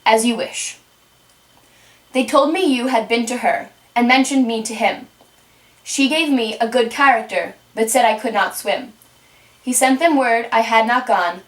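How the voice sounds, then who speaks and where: A woman's voice: a little high, unhurried, natural voice. A woman voicing an officious, deferential rabbit herald in an amateur puppet show, reciting formally. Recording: roomy, distant microphone.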